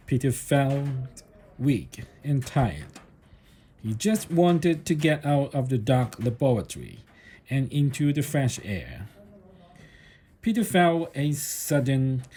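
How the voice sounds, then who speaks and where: Male storybook reader: mellow, a little husky, unhurried and deliberate, expressive in pitch. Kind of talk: read-aloud children's story narration.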